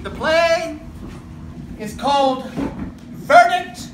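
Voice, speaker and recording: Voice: high-pitched, expressive, drawn-out words, heavily acted. An announcer introducing a stage play in theatrical narration. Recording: echoey theatre with clear background noise.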